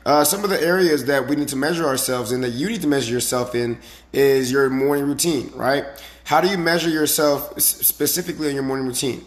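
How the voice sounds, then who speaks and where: A man with a raspy, slightly hoarse voice giving a casual motivational talk as a fitness coach, emphatic and repetitive with frequent rhetorical questions. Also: medium pitch, a little fast. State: slightly hoarse from the previous day.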